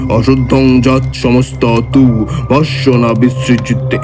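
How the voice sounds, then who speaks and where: An actor playing a seasoned male tantric mystic who recounts his adventures in a measured, solemn way for a horror audio drama. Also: mid-range voice, lightly acted. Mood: solemn, invoking. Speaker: storyteller.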